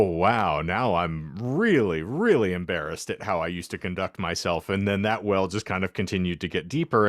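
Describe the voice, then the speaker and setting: Male podcast co-host, low register, close dry sound, wry and verbose casual storyteller with a self-deprecating streak. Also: no room sound. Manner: wordy, wry, self-deprecating, with ornate vocabulary.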